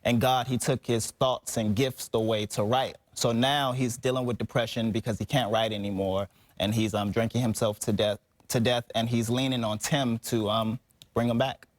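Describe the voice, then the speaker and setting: A man's voice: mid-range voice, fairly even intonation, normal speed, no echo. A man speaking as a stage actor, explaining a role in an interview.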